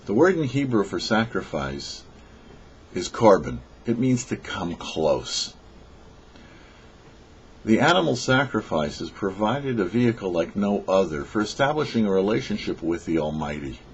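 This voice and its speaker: A man delivering a scripted religious teaching as a rabbi, in a measured, emphatic way with rhetorical questions. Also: on the low side, sing-song, a little hiss or hum. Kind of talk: scripted sermon read for a radio show. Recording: dull, lo-fi audio.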